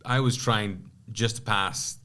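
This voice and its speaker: Low-voiced man telling his story. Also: steady tone, slow, fairly bright tone. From Kuwait. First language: Urdu. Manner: rambling, many fillers and restarts. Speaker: pastor and podcast guest. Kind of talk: casual interview storytelling.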